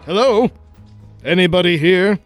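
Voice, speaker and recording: A man's voice: high-pitched, a little slow, no echo, faint music behind. A man playing a brash, wisecracking American adventurer in a 1940s-style radio play, folksy and sarcastic. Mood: breezy, cocky.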